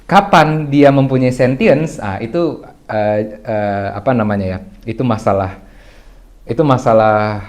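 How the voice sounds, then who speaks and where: A young man sitting in as a guest on a casual talk show, with a voice neither high nor low. He works through his points in a reflective, explanatory way. He restarts phrases, poses his own questions and keeps clearing his throat between thoughts. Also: measured pace, darkish tone. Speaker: guest speaker. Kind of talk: casual podcast discussion.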